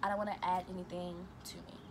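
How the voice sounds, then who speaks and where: A young woman from Chicago who talks fast and stutters, reading get-to-know-me questions aloud and answering them in a casual vlog. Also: fairly low pitch, level tone. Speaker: vlogger. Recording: noisy room ambience.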